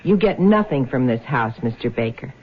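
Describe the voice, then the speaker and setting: A woman's voice: very deep voice, lively intonation, moderate pace, breathy edge, heavily acted. An actress playing a tough, suspicious American woman with a blunt, slangy, taunting manner in a 1970s radio drama. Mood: suspicious, combative. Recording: muffled vintage radio recording.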